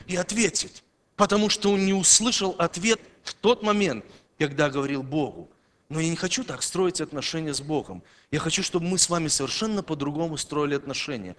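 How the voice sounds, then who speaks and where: A man preaching a sermon to a congregation, emphatic, swinging between loud declarations and quiet, low passages. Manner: emphatic, rising and dropping in loudness.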